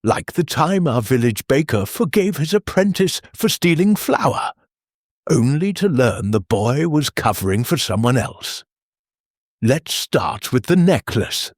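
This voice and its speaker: A man voicing a placid, shrewd elderly village lady sleuth, with a lilting, calm but cutting delivery in an audiobook mystery. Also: mid-range voice, unhurried, no room sound, studio quality, pitched above the performer's own voice.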